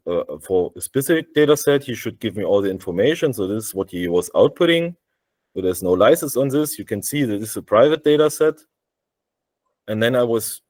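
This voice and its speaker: A man with a German accent presenting a software demo over a video call, speaking haltingly with many fillers and restarts. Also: medium pitch, dry recording. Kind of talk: live screen-share demo on a video call.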